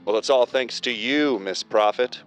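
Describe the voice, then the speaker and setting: An actor playing an overly cheerful, salesy corporate training-video presenter in an audio drama. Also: male voice, mid-range voice, normal speed, clean tone, lightly acted, low-quality recording. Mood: slick, cheerful. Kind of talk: scripted corporate promo narration in audio drama. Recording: music underneath.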